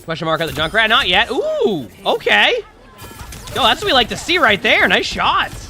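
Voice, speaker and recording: A man streaming and coaching a player through gameplay, talking directly to them about their mistakes, a little hoarse from being under the weather. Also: very high-pitched, huge pitch swings, normal speed, bright, forceful tone, faint music behind.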